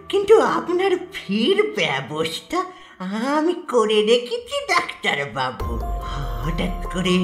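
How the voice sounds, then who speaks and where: A woman playing a frail, dying old patient in an animated horror story, pleading and secretive, halting and repeating words. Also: medium pitch. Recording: echoey, with music behind.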